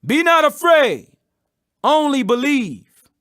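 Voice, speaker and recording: A commanding yet compassionate holy healer voiced by a male narrator speaks high and clear of purpose. Each phrase is declared with deliberate, authoritative emphasis and wide melodic movement in this scripture reading. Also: sing-song, fairly bright tone, exaggerated voice. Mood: firm.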